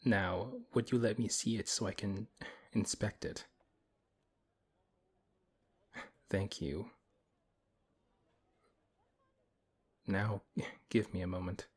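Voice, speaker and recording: A big fantasy paladin, acted by a man with a very low, husky voice. He is principled and wary, talking in a measured, dryly skeptical manner as in an ASMR roleplay, reluctant but willing to help. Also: fairly even intonation. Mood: cautious, reassuring. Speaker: audio roleplay performer. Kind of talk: ASMR audio roleplay acting.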